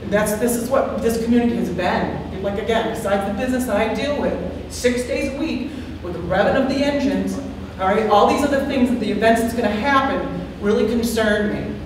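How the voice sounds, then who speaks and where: A woman with a loud voice giving earnest, worried public comment at a town board hearing, repeating her points. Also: fairly high pitch, fairly quick, hardly any pauses. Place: large town meeting hall, far from the mic. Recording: echoey hall, distant mic, faint hiss.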